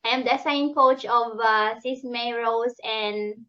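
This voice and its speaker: A woman from the Philippines with a Filipino accent, chatting in an animated, giggly way as a coach being interviewed. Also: on the high side, a little slow, dull audio. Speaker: business coach, interview guest. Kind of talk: casual interview chat.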